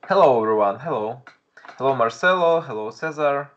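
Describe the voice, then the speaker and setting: A man's voice: medium pitch, lively intonation, fairly quick, fairly bright tone, highs cut off. A young man with a Russian accent, commentating a live chess stream in English, thinking aloud with frequent restarts and greeting viewers in chat.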